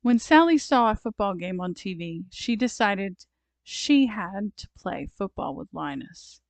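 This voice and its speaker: A woman narrating a children's storybook aloud, expressive and deliberate in her phrasing. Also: mid-range voice, creaky, dry recording. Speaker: storybook reader.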